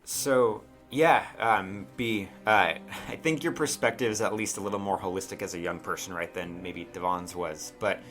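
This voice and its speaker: Low, bright-voiced man running a game. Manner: conversational, halting, checks in with "right?" and "like". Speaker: game master. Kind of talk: improvised narration of a tabletop game. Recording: music behind the voice.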